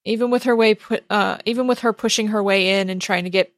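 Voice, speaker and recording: A woman's voice: mid-range voice, fairly even intonation, fast talker. The woman at the head of a gaming table, narrating the scene to her players, heard close with no room echo. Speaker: game master. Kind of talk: narrating a tabletop role-playing game.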